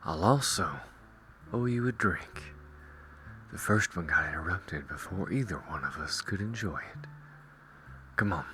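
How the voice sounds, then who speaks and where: Man voicing a deep, slow-drawling cowboy gunslinger. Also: expressive, sharp tone, slight background noise, full character voice. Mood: wry, teasing. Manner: slow, drawling, wry, reassuring. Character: calm, cocky gunslinging cowboy ranger, teasing but protective. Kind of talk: roleplay audio drama addressed to the listener.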